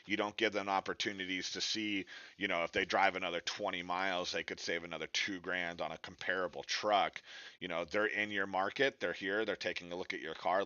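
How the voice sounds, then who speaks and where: A man with a low voice and a forceful, bright edge teaches an informal webinar. He speaks directly and conversationally, often tacking on quick check-in questions. The sound is dry and slightly muffled. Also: no room sound. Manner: conversational, direct, peppers points with tag questions. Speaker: webinar presenter, digital marketing instructor. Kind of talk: informal instructional webinar presentation.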